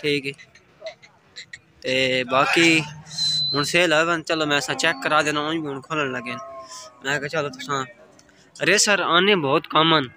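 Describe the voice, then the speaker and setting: Man vlogging at a cattle market. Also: on the high side. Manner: repeats prices back, brisk and friendly. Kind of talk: casual on-the-spot interviews about prices. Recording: outdoor market with loud crowd. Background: crowd chatter.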